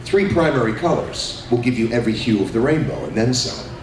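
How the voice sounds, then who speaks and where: A middle-aged man, a voice actor on a convention panel, talking in an animated, jokey way and slipping into character voices. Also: medium pitch, fairly quick. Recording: echoey hall, far from mic, noisy.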